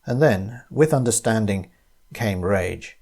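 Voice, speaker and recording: A man reading a short story aloud as its narrator, in an expressive, dramatic way. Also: fairly low pitch, slow, creaky.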